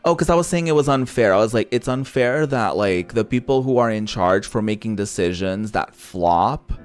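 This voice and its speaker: A man's voice: on the low side, expressive, normal speed. A man who is a native Portuguese speaker, giving casual gameplay commentary in a sassy, opinionated way, swearing freely. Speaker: gaming content creator playing a visual novel on stream. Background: background music.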